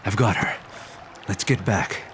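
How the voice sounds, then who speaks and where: An actor playing a weary, troubled, brooding adventurer in a monster radio drama, with a low, gravelly voice. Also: male voice, unhurried, background noise, close to an everyday voice. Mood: subdued. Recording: loud music bed behind voice.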